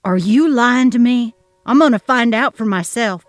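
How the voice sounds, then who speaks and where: A woman voicing a jealous, demanding grandmother who talks loud and shrill. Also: moderate pace, no echo, soft background music, natural voice. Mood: shrill, frantic. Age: older. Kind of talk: dramatic reading of a text-message story.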